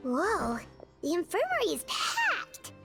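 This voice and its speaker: Perky, childlike little game companion: extremely high squeak, excitable, exclamatory, over clear background music. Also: female voice, slow pace, exaggerated voice. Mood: curious. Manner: exclamatory, chatty. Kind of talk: video game voice acting.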